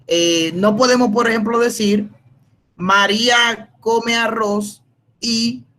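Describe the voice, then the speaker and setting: A man with a Dominican accent gives an informal, halting explanation as a student in an online class, often repeating and rephrasing. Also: sits high, sharp tone. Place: video conference call.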